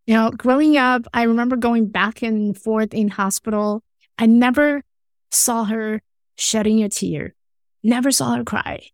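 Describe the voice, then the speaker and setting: A woman's voice: fairly high pitch, fairly even intonation, no room sound, clean studio sound. A woman from Taiwan, a podcast guest telling her life story earnestly, restarting phrases as she goes. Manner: earnest, halting, with frequent restarts and small grammar slips. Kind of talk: personal storytelling in conversation.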